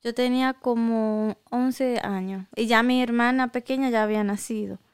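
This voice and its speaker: A woman's voice: a little high, steady tone, clean tone, no echo, clean studio sound. A young Dominican woman with a Dominican Spanish accent, reminiscing unhurriedly in a casual chat. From Dominican Republic. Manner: unhurried, reminiscing with pauses and restarts.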